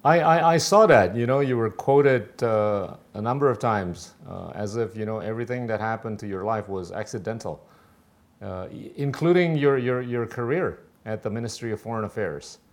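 A middle-aged man with a fairly low voice hosts the interview. He asks his questions haltingly, doubling back on words and filling pauses as he goes. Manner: halting, repeats words and fillers. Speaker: host. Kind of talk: interview hosting.

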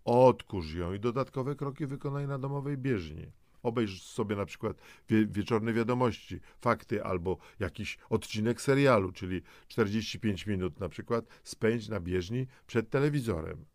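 A man speaks straight to the listener as a motivational host, in a low voice with a gravelly fry. He coaxes and instructs, posing questions as he goes. The sound is close and dry. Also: moderate pace, hardly any pauses, a little mellow, no room sound. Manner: coaxing, instructive, with rhetorical questions. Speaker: host of a health and lifestyle audio programme. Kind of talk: semi-scripted motivational narration addressed to the listener.

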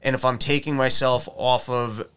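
A man's voice: level tone, moderate pace, pushed and bright, slight background noise. A man in casual chat about recording gear, rambling and correcting himself as he goes. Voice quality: says his voice is high-pitched. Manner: rambling, self-correcting, technical. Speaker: comedian recording his shows.

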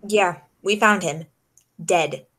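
A woman's voice: fairly low pitch, takes it slowly, a bit bright. A woman playing a wry, skeptical female private detective in the style of a 1940s radio drama. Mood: firm, skeptical. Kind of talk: 1940s old-time radio detective acting. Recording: music behind the voice.

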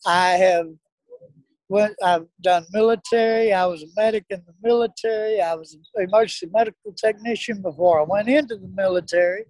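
A man's voice: high voice, fairly quick, darkish tone, dry recording, poor audio. A middle-aged man, speaking earnestly and emphatically as a guest. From South Dallas, Texas. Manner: earnest, emphatic, plain-spoken. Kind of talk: casual interview talk, preaching.

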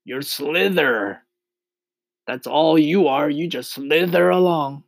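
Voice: mid-range voice, takes it slowly, vocal fry, no room sound, studio quality, voice pushed up. A performer voicing a boastful, cheeky male centipede in a storybook, bragging and teasing. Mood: mocking, gleeful.

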